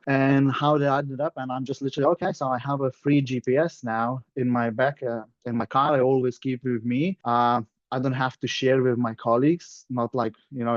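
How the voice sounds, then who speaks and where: A man's voice: medium pitch, dry recording. A man from Lithuania with a Lithuanian accent, telling his story in a chatty, plain-spoken webinar talk over a video call. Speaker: guest presenter, surveyor. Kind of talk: casual webinar presentation. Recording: dull lo-fi call audio.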